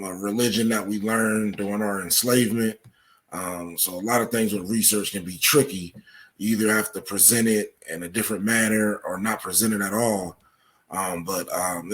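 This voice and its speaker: Low-pitched American man explaining as a guest. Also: fairly bright tone. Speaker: interview guest, researcher of sports history. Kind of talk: podcast interview, explanatory.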